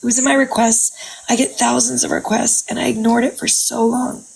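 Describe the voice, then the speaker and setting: A woman with a raspy voice, telling a personal story in casual chat on a video call. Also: a little high, flat delivery, brisk, a little mellow, low-quality recording. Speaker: content creator.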